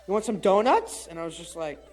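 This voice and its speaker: Teen dude rambling a story on a podcast, kinda high voice, faint music under it. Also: male voice, sing-song, fairly quick, a little mellow. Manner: rambling storytelling with many "I was just like" asides. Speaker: podcast co-host. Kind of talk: casual chat, telling a long story.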